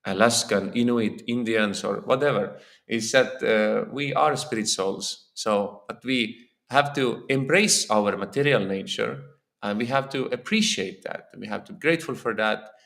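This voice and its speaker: Gravelly Estonian-accented man lecturing. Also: lowish voice, measured pace, a bit bright. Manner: earnest, repeats words while searching for phrasing. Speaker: lecturer on scripture. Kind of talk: informal religious lecture. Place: temple hall.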